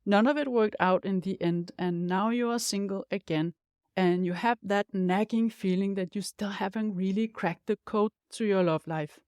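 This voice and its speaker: Danish woman, 50s, life coach host; upbeat, direct to listener, mid-pitched, dry close mic. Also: a little mellow, clean tone, no room sound. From Denmark. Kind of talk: scripted podcast monologue.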